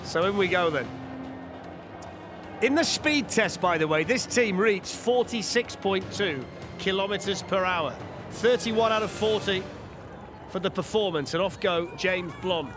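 A high-pitched man calls a soapbox race live at an outdoor track, reacting quickly and cracking jokes. Music plays clearly behind him. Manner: excitable, quick reactions, jokey. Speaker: race commentator. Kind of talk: live sports commentary.

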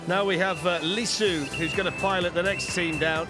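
A man giving lively, jokey live commentary on a downhill race at an outdoor track. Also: high voice, over loud music. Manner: excitable, quick reactions, jokey.

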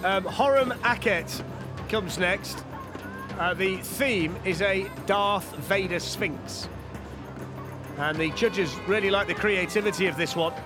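Guy calling a soapbox race, highish voice, keeps getting excited, music going underneath. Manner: excited, wry, calls the action quickly. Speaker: race commentator. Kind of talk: live sports commentary.

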